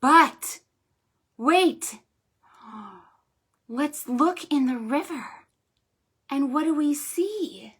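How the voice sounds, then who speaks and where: A woman hosting storytime for preschoolers in an exaggerated sing-song way, encouraging them and pausing after her questions. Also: sits high, expressive, a bit bright. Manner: sing-song, exaggerated delivery for small children. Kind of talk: interactive storytime for young children, counting rhymes.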